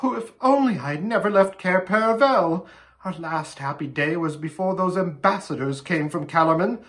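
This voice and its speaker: A man voicing a gentle, emotional young queen, plaintive and effusive, in a storybook reading. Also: sits high, big pitch swings, a little slow, pitched above the performer's own voice. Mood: sobbing despair.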